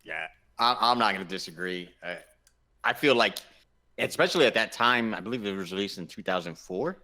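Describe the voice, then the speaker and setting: A man's voice: on the low side, brisk, bright, forceful tone. A man co-hosting a casual chat, giving his opinions emphatically and repeating himself for stress. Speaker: podcast co-host.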